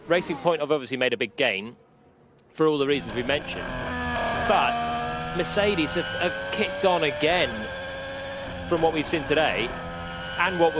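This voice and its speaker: High-voiced male motorsport pundit over engine noise. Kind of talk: live analysis commentary. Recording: loud car noise behind broadcast.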